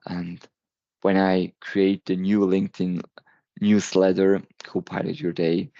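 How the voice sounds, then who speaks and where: A man's voice: low voice, little pitch movement, slow, mellow, no room sound, dull audio. A man in his thirties with a German accent, giving a recorded talk, earnest and sometimes stumbling over words. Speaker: presenter.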